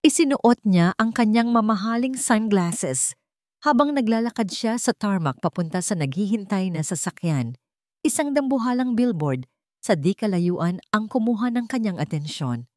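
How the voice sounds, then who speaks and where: A woman's voice: fairly low pitch, no echo, studio quality. A woman with a Filipino accent narrating a scripted romantic drama story in a dramatic storytelling style.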